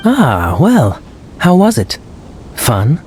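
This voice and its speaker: An actor voicing a suave, teasing knight in a video game, sly, wry and mock-polite. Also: male voice, fairly high pitch, huge pitch swings, very slow, slight background noise, heavily acted. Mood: amused, teasing.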